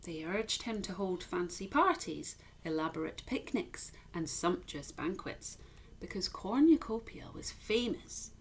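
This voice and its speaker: A woman reading a children's story aloud like a teacher, with emphatic, expressive narration. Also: lowish voice, a little slow, a little hiss or hum.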